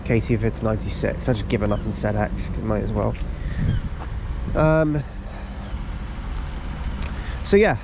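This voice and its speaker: A man's voice: fairly low pitch, a little slow, creaky, long pauses. A man with a stuffy, blocked-up voice from a cold, chatting casually as he vlogs from railway station platforms, with lots of ums and restarts. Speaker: railway vlogger. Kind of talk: casual vlog narration. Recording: outdoor noise on camera mic.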